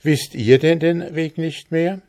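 Guy doing young village girl in a fairy tale, eager n hopeful, pitched up. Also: fairly even intonation, slow, darkish tone. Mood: eager appeal. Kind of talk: fairy-tale storybook reading.

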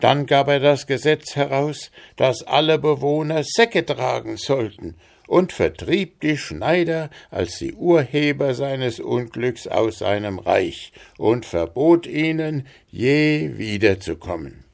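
A jailer in a fairy tale, explaining events at court in a slow, lilting telling voice, as a man plays him. His voice has a gravelly grain. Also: mid-range voice, animated, voice pushed up. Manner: explaining, storytelling. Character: male jailer telling a court story, plain.

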